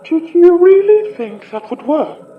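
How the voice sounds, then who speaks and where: A malevolent female spirit with a high voice that swoops theatrically. She speaks with slow, condescending courtesy and taunts her prey. The sound is distant and echoey, as in a horror audio drama. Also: sing-song, narrow, tinny audio, heavily acted. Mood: menacing, condescending. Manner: theatrical, taunting, polite menace. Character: possessing spirit, a polished, menacing, condescending sorceress.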